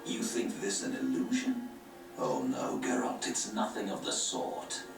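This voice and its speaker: A man's voice: lowish voice, huge pitch swings, unhurried. A grave grand master speaks in a lofty, sermonizing tone. His video game audio sounds distant and roomy, with music beneath it. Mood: preaching. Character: grave male grand master of an order, preaching doom. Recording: game audio from far off in an echoey room, with music.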